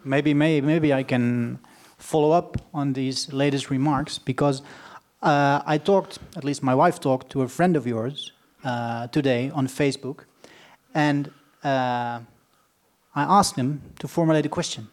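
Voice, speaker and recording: A man interviewing a guest live, putting his questions in a hesitant, halting way. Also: medium pitch, a little mellow. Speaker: interviewer, moderator.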